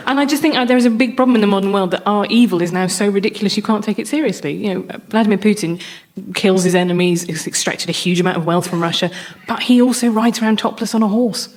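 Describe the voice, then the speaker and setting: A woman's voice: medium pitch, fast, a little mellow. A woman giving witty, conversational commentary as a comedy panellist.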